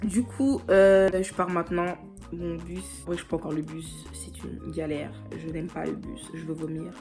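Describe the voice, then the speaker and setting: A woman's voice: lowish voice, steady tone, moderate pace. A young woman from France talks into her phone camera in loose, rambling vlog chatter, filled with giggles and little fillers. She sounds a bit stuffed up from a cold. Light music runs underneath. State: has a cold. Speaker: vlogger. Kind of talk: casual vlog talk.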